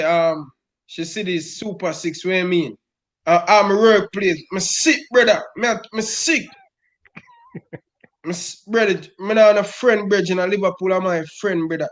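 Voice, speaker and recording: A man with a high, lifted voice and a thick Jamaican Patois accent. He's a middle-aged football fan on a rant, pressing every point hard and tacking "brother" onto nearly every phrase. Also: normal speed, pauses often, fairly bright tone. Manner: emphatic, repeats "brother" constantly.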